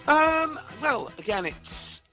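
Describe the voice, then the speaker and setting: A man's voice: very high-pitched, very animated, takes it slowly, over music. A man chatting casually as a musician guest, hesitant and self-effacing about his work. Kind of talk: casual interview chat.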